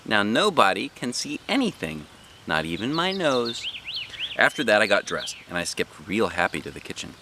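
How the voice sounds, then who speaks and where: Male children's story narrator, low register with big melodic swings, slight background noise. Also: huge pitch swings, moderate pace. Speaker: read-aloud host.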